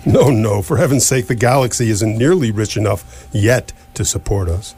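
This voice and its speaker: An actor playing an elderly, courtly alien planet designer in a comic radio drama, husky and rambling with understated, old-fashioned turns of phrase. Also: male voice, on the low side, moderate pace, a little mellow, faint music behind. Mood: matter-of-fact.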